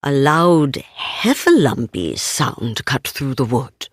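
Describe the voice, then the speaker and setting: A woman's voice: rumbling low, big pitch swings, slow pace, breathy edge, no room sound, studio quality. A woman narrating a children's story, reading expressively from a script as a storybook narrator.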